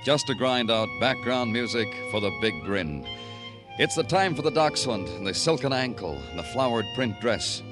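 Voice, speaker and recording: A male actor plays a world-weary New York detective narrating an old radio noir drama. His delivery is dramatic, poetic and deliberate, in a mid-range male voice, with music clearly playing beneath him. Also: medium pitch, expressive, natural voice. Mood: wry, lyrical.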